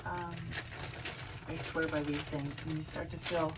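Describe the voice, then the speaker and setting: A middle-aged woman with a low, croaky, hoarse voice chats casually, circling back with ums and restarts as she talks. Faint background noise sits under her voice. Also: little pitch movement, unhurried. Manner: chatty, with frequent ums and restarts. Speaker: travel vlogger. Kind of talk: casual vlog chat.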